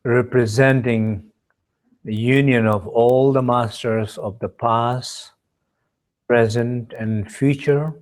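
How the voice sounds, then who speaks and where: A man leads a guided meditation as a teacher, speaking in measured short phrases with pauses between them. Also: mid-range voice, steady tone, a little mellow. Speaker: meditation teacher leading a retreat.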